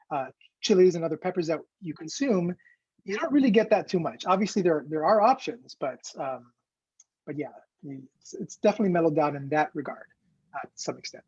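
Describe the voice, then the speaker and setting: A man's voice: fairly high pitch, darkish tone, slightly rough, dry recording. A man who grew up in Ecuador, teaching an online cooking class in a loose, rambling way full of fillers over a video call. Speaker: cooking instructor. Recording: dull, lo-fi call audio.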